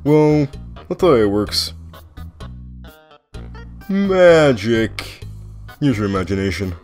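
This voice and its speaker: A man plays a skeleton big brother in a comic dub, casual and unbothered, with a mid-pitched voice. He explains patiently and teases dryly, with faint music behind him. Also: very animated, slow, darkish tone. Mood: unbothered, wry. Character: laid-back, teasing, knowing skeleton older brother.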